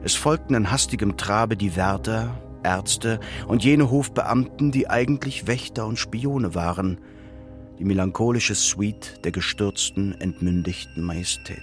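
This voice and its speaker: A man narrating a literary radio drama in a measured, solemn and precise manner. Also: lowish voice, faint music behind.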